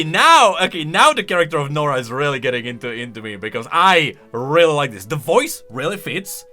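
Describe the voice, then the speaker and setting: A man with a bright, fairly high voice commentates casually on game lore. His pitch jumps around in an enthusiastic, thinking-aloud way, with restarts along the way and faint music underneath.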